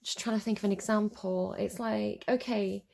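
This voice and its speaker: Sniffly woman with a gravelly voice. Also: mid-range voice, steady tone, normal speed, dark tone. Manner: rambling and searching, full of ums and likes. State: sniffly from a cold. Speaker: tarot reader. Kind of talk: casual spoken tarot reading.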